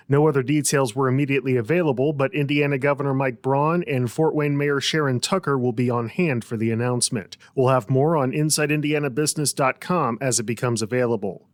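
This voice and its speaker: A man's voice: on the high side, dry recording. American male news anchor, dry studio sound. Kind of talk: scripted news bulletin narration. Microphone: close studio mic.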